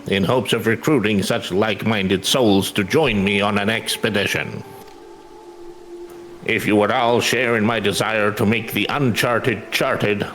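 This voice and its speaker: A dreamy male minstrel, played by a man, speaks in a low register with measured, poetic flourish. He sounds like an earnest traveler in a fantasy game reading a letter aloud, over faint background noise. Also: a bit bright.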